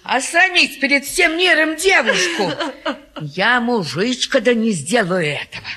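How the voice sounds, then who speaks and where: Scandalised scolding auntie in her 50s, high swoopy voice, old comedy play, very theatrical. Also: animated, a little slow, sharp tone. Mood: outraged scolding. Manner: theatrical, reproachful, folksy turns of speech. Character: indignant aunt in her fifties, scolding and scandalised. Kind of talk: classic Russian stage comedy acting.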